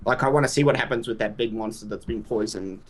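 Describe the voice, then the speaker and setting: A man giving his opinion on a casual podcast, halting and restarting his sentences. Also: lowish voice. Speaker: podcast co-host. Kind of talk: casual chat.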